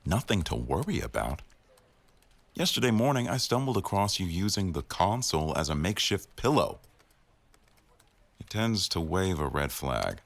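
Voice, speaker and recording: Man playing a very deep-voiced, wry office boss. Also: very animated, normal speed, pauses often, heavily acted. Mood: wry, teasing. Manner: wry, formal, dryly teasing. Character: stern, teasing male office boss, protective of employee. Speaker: audio roleplay performer. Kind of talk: boyfriend ASMR roleplay acting.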